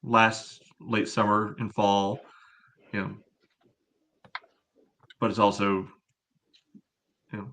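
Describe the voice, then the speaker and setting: A man's voice: fairly low pitch, flat delivery, takes it slowly, long pauses, fairly bright tone, a little hiss or hum. A man co-hosting a casual soccer podcast, giving opinionated, analytical takes in a conversational way. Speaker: podcast co-host.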